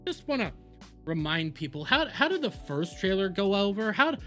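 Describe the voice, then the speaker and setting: A man hosts a livestream with opinionated reaction commentary. His delivery is emphatic and animated, with pitch that moves around a lot, and he often repeats phrases to drive a point home. Faint music plays behind his voice. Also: moderate pace.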